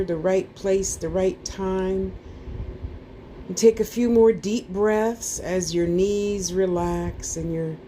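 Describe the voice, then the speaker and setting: Slow, mellow woman guiding meditation. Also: medium pitch, a little hiss or hum. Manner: soothing, informal, playful asides; repeats short phrases in a lulling way. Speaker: guided meditation class teacher. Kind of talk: guided meditation, relaxation instructions.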